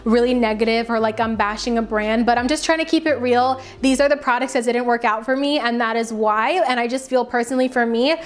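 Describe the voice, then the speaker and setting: A woman with a fairly high voice talks casually to camera as a beauty vlogger. She reviews products in a chatty, conversational way and leans on words for emphasis. Her voice carries a slight raspy tiredness from a long stretch of talking. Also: fairly even intonation, a little fast, hardly any pauses.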